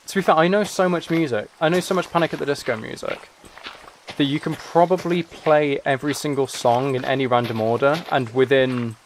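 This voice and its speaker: A man with a mid-pitched voice rambles casually through a gaming livestream. He sounds tired, often breaks off and restarts as he answers chat, and clicks and noise run clearly behind him. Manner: rambling, self-interrupting, replying to chat comments. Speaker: livestreamer and musician.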